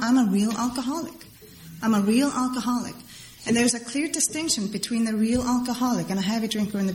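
A middle-aged woman from Iceland, giving personal testimony to a crowd in a halting, rambling way and repeating phrases for emphasis. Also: medium pitch, darkish tone. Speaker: speaker at a recovery meeting. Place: large meeting hall before a crowd. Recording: echoey hall with background noise.

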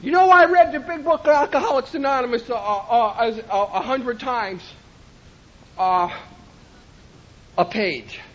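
A man giving an impassioned personal testimony at a recovery meeting, often shouting for emphasis. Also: very high-pitched, a little slow, long pauses, dull audio. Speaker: recovery speaker.

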